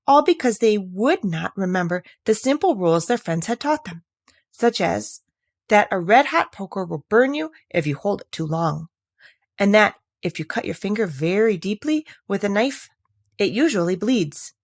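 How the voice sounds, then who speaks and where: Female storybook narrator, mid-pitched with lively intonation, touches of vocal fry, expressive scripted read, dry close sound. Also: normal speed, no echo. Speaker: audiobook narrator.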